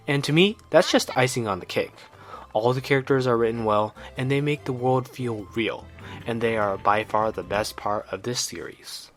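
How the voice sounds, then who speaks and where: Guy doing a scripted game review voiceover, mid-pitched voice. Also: normal speed.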